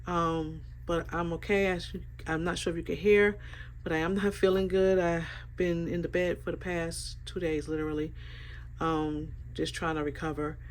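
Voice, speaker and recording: A woman's voice: lowish voice, moderate pace, slight background noise. Stuffy-voiced woman vlogger. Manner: relaxed, rambling, many fillers. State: stuffy from a sinus infection. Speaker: planner vlogger. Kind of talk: casual planner flip-through.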